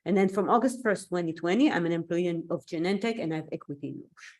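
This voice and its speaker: A woman's voice: lowish voice, fast, darkish tone. A woman from Israel whose native language is Hebrew, giving a thoughtful online seminar talk over a video call while recovering from an illness, with an occasional cough. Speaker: invited scientist speaker.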